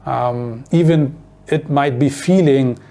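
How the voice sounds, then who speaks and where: A man's voice: mid-range voice, slow pace, mellow. A man from Germany with a strong German accent, German being his mother tongue, talking casually to camera as a vlogger, rambling and repeating himself with many fillers and "you know" and "right?" tags.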